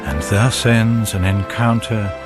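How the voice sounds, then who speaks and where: A grave gothic narrator with a lowish voice. He speaks very slowly in solemn, literary phrases for an audio drama, with loud music underneath. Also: steady tone. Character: grave, brooding storyteller of a gothic tale.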